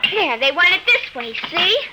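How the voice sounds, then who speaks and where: A woman voicing a bossy, excited eight-year-old girl in a 1950s radio drama, childlike and insistent. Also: very high voice, moderate pace, loud music behind the voice. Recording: noisy old radio recording with clicks.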